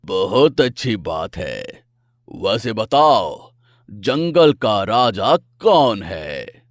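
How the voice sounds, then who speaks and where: Man playing boastful lion king, imperious, animated. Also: on the low side, very slow, no echo, clean studio sound, exaggerated voice. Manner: imperious, interrogating, threatening.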